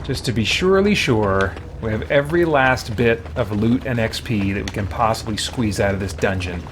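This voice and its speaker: A man's voice: fairly low pitch, expressive, normal speed, background noise. A man giving casual live commentary as he plays a video game, thinking aloud in a wry way. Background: video game music.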